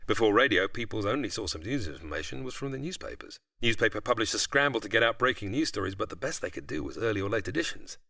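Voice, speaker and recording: A man speaks as an expert guest, explaining in a polished, storytelling way. His voice sits fairly low yet moves with lively intonation at a quick pace. The delivery is articulate and scripted. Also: creaky, no echo, studio quality. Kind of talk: explanatory interview, largely prepared narration.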